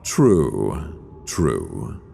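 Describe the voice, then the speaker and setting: Man playing a scheming aristocrat, slow and cavernous. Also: extremely low pitch, huge pitch swings, creaky, dark tone, breathy edge, soft background music, pitched below the performer's own voice, exaggerated voice. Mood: reassuring. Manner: measured, courteous, deliberate. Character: scheming aristocratic avenger feigning smooth, solicitous concern. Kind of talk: audiobook character dialogue. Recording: long cavernous reverb.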